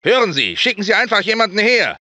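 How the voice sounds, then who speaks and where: A man's voice: sits high, sing-song, fast, sharp tone, no room sound, full character voice. A man playing a terse, matter-of-fact soldier making field reports in a German-dubbed video game. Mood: tense, reporting.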